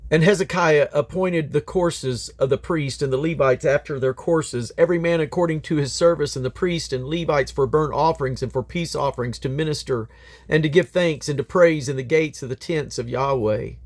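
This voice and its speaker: A man's voice: on the high side, darkish tone. A man reading Bible scripture aloud in a solemn, declamatory manner, letting his phrases fall away at the end. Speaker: Bible reader.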